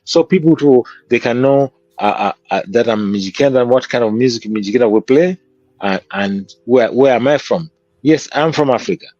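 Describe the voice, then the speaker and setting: A man's voice: mid-range voice, moderate pace, a little mellow, dry recording, dull audio. A man with a Kenyan accent, a guest musician telling stories from his childhood in an interview. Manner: reflective, storytelling. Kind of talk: interview conversation.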